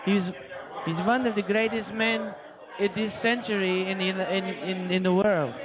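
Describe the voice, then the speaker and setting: A man voicing an earnest, bumbling comedy radio sidekick who stammers haltingly, on a tinny recording with a crowd. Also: high voice, fairly even intonation, voice pushed up, close to an everyday voice. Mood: earnest admiring. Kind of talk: comedy radio show character. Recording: tinny phone-like sound, loud bar crowd.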